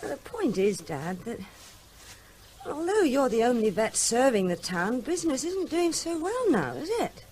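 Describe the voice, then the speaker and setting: An actress playing a vet's playful grown daughter in a vintage radio drama, young and animated. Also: female voice, fairly high pitch, moderate pace, a little hiss or hum. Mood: lively. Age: young adult. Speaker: Tess, the daughter.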